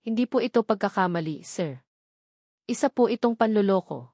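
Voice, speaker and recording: A woman's voice: mid-range voice, expressive, moderate pace, warm tone, no room sound, clean studio sound. A woman voicing a desperate young socialite in a story, pleading and suspicious, mixing languages in an insistent way. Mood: bitter.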